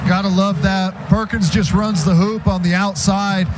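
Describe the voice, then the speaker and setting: Male football analyst, American accent, high pitch, informal colloquial remarks, clear background stadium noise. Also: level tone, moderate pace. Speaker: color commentator. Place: stadium radio booth. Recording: noisy stadium radio broadcast.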